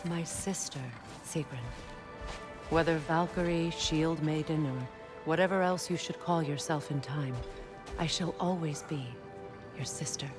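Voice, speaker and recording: A woman's voice: deep voice, fairly even intonation, a little slow. An actress playing a regal, devoted queen in a video game, speaking in a solemn, emphatic way. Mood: fervent, loving. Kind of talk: dramatic video game dialogue. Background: music.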